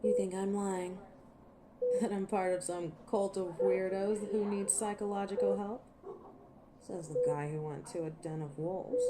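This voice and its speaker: A woman's voice: mid-range voice, fairly even intonation, a little slow, heavy background noise, lightly acted. A woman voicing a cocky, ancient werewolf in an audio roleplay, teasing and menacing with a sardonic, impatient manner. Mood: wry, explaining. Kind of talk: scripted audio roleplay monologue to a listener.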